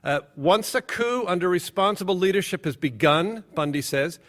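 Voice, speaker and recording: An actor playing an eager male reporter in a radio drama, excitedly reading out documents. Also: high-pitched, normal speed. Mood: intent. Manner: excited, reads documents aloud.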